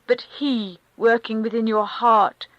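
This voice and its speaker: A woman's voice: on the high side, fairly even intonation, unhurried, no room sound, lo-fi sound, voice pushed up, lightly acted. A woman reading a devout countess who consoles in a fervent, preaching manner. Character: devout rapturous aristocratic countess. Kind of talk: audiobook character reading.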